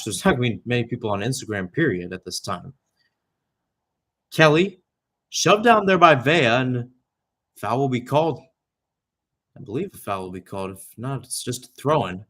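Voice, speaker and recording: A man's voice: medium pitch, fairly bright tone. A young man calling live soccer play-by-play in a radio style, often correcting himself and slipping into conversational asides. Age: young adult. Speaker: play-by-play commentator.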